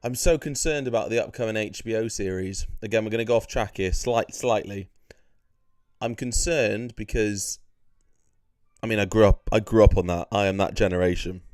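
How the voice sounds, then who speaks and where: A man's voice: on the low side, normal speed, darkish tone, dry recording, studio quality. A man co-hosting in a casual chat, with a blunt, sweary way of talking.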